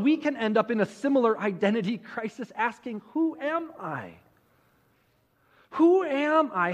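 A man preaching a sermon, telling a Bible story conversationally with dramatic pauses and rhetorical questions. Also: high voice. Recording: muffled audio with long hall reverb.

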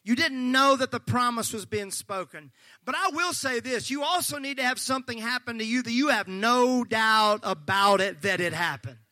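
A male pastor preaching a sermon, fervent and folksy, joking with the congregation and breaking into shouts. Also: very high voice, moderate pace, dry recording. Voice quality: slightly gravelly.

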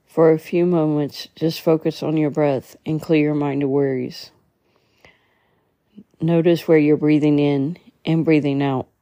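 A woman leading a guided meditation in a soothing, measured way, occasionally stumbling as she reads. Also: low-pitched, steady tone, mellow, no room sound. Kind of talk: guided meditation, slow instructional reading.

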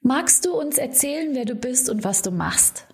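A woman's voice: high-pitched, animated, normal speed, a little mellow. A woman hosting a business podcast, asking her guest questions in a friendly, curious way. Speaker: podcast host. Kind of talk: interview hosting.

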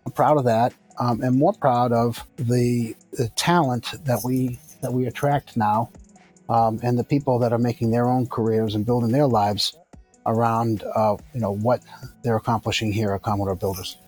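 A man in his sixties speaks at a mid pitch, answering in a measured, reflective way and often pausing to restart his thoughts. Faint music plays underneath his voice. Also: fairly even intonation, a little mellow, poor audio. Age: 60s. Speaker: construction company founder. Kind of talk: conversational interview answers.

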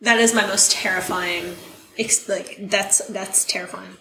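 A woman co-hosting a podcast, losing her voice, telling stories in a chatty, animated way. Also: medium pitch, measured pace, vocal fry, a little hiss or hum. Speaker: podcast co-host. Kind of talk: casual chat. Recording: echoey room.

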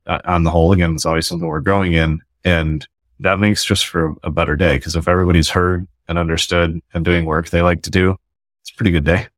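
Low-voiced man explaining earnestly. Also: level tone, fast, no room sound, studio quality. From United States. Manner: earnest, explanatory, with frequent restarts. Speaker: guest author and company founder. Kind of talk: casual podcast conversation.

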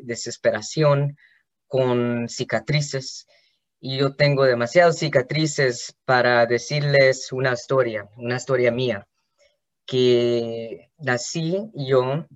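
Slow, hesitant man on a podcast. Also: mid-range voice, highs cut off. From Los Angeles, California. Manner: slow, hesitant, with many restarts. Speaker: podcast host. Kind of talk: personal monologue.

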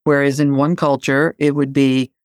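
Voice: mid-range voice, flat delivery, normal speed, no room sound, clean studio sound. Provocative host asking rhetorical questions. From Greece. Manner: conversational with frequent "you know, right?". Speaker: podcast host. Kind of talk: solo reflective podcast talk.

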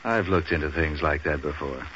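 An actor playing a cynical, wisecracking man for hire in a deadpan, slangy 1940s radio noir style. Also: rumbling low, moderate pace. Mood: cool, needling. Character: wisecracking, hard-boiled male man for hire. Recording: dull, slightly noisy old radio recording.

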